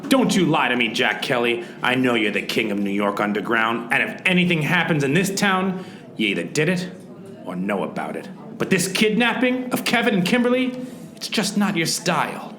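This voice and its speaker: A man's voice: mid-range voice, sing-song, moderate pace, pushed and bright. A man playing an insistent, comically hard-boiled detective in a radio noir parody, pressing and melodramatic. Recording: echoey room, clear background noise.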